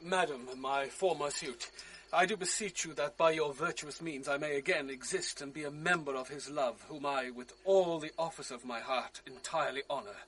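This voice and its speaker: An actor playing a disgraced young officer in a radio drama, formal and beseeching. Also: male voice, on the high side, moderate pace, fairly bright tone, slight background noise, natural voice, rough recording. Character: disgraced courteous young officer, humbly pleading. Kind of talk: 1980s radio drama acting.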